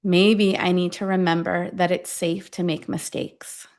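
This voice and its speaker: A woman leading a guided affirmation meditation, speaking deliberately in short phrases with long pauses between them. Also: on the low side, little pitch movement, darkish tone. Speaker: guide of a self-affirmation tapping session.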